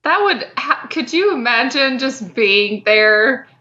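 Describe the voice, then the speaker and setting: A woman with a high, bright voice banters casually as a podcast co-host. She takes her time, reacting to the conversation. The microphone sound is a little dull and lo-fi. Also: animated, slow.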